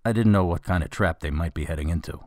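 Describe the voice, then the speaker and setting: An actor playing a gruff, practical, dryly sarcastic police officer in a radio drama, matter-of-fact and slightly exasperated. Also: male voice, sits low, quick pace, a little mellow, dry recording, clean studio sound. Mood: businesslike. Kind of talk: scripted radio drama dialogue.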